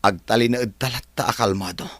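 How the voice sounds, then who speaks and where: A man playing a calm older adviser in a radio drama, speaking in a deep, slow voice. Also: no echo, close to an everyday voice.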